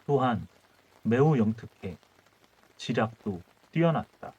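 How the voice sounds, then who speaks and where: A man reads a folk tale at bedtime in calm, measured phrases. His voice sits in a middle register. The recording sounds a little dull and muffled. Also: medium pitch, husky. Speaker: audiobook narrator.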